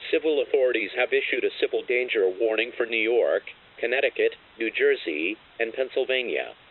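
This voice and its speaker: A man's voice: mid-range voice, animated, brisk, slightly rough. A man reading a scripted emergency broadcast announcement in a formal, impersonal, synthetic-sounding way. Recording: tinny, phone-like narrow-band alert broadcast.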